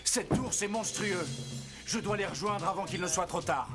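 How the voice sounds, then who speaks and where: An actor playing a brave, firm Russian bear hunter in a French cartoon. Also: male voice, sits high, moderate pace, close to an everyday voice. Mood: urgent, determined. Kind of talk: French animated series dubbing. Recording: loud music under the voice.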